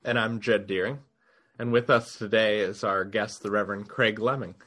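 Male co-host, bright and forceful tone, lowish voice, earnest. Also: fairly even intonation, normal speed. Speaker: podcast co-host. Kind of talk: casual podcast conversation.

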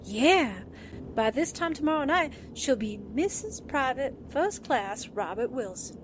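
Woman acting a high, drawling wisecracking sidekick. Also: sing-song, measured pace, a little hiss or hum, low-quality recording. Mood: dreamy, breezy. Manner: breezy, wistful, slangy. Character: wisecracking, dreamy young newspaperwoman sidekick. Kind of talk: radio drama acting in 1940s manner.

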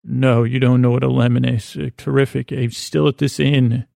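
Creaky-voiced man rambling through a bedtime podcast. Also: medium pitch, little pitch movement, mellow, no room sound, studio quality. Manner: meandering, halting, trails off mid-sentence. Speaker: podcast host.

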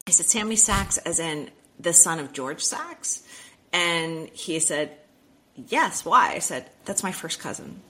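A middle-aged woman from Miami, a guest author telling family stories in a chatty, animated way. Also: lowish voice, slow, rough recording. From Miami, Florida. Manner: chatty, animated, acts out quoted dialogue with restarts. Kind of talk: casual storytelling interview.

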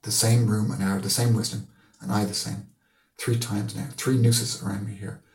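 A man's voice: fairly low pitch, flat delivery, normal speed, darkish tone. A man with an Irish accent reading literary narration as an audiobook reader. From Ireland. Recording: roomy echo.

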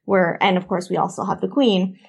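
A woman's voice: fairly low pitch, steady tone, fairly quick, vocal fry, breathy edge. A woman with a Canadian accent hosting a podcast discussion, chatty and prone to long tangents. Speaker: podcast host.

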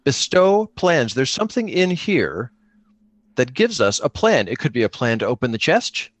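A man's voice: medium pitch, very animated, moderate pace, no echo. Man chatting through a tabletop game, dry sound. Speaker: podcast player improvising a solo tabletop game. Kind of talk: casual improvised tabletop game chat.

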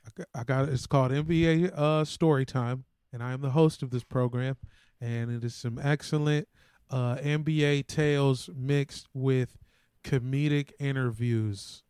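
A man's voice: mid-range voice, steady tone, unhurried, darkish tone, clean tone, dry recording, clean studio sound. A man co-hosting a podcast, bantering casually with slang, jokes and swearing. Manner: slangy, profane, joking. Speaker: podcast co-host, comedian.

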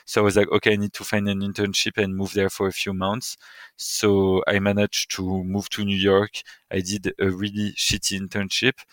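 A man in his thirties with a French accent and a low, clear voice. He chats casually as a guest, in a halting, informal way, recorded very dry and close. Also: monotone, fairly bright tone, dry recording. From Paris, France. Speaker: interior designer, podcast guest. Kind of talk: casual interview chat.

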